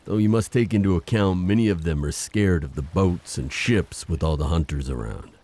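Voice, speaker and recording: Casting: male actor for noble prince of the sea, extremely low dark voice, grave and formal, audio fantasy drama. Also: normal speed. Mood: earnest, dutiful. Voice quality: very deep, dark. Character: solemn, dutiful undersea prince guarding his hunted people.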